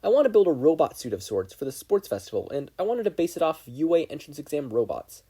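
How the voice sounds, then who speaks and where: Man voicing an eager, high-pitched teenage boy. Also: sing-song, quick pace, warm tone, husky. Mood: earnest, hopeful. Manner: eager, gushing, polite. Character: excitable earnest teenage boy student inventor. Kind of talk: audiobook character voice.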